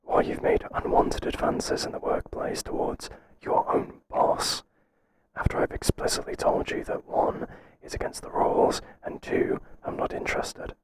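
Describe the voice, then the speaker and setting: A man playing a stern but flustered boss in an ASMR roleplay, speaking in a halting, breathy whisper. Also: close to an everyday voice. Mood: stern, exasperated. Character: stern, flustered male boss resisting an employee's advances. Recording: clearly noisy, hissy background.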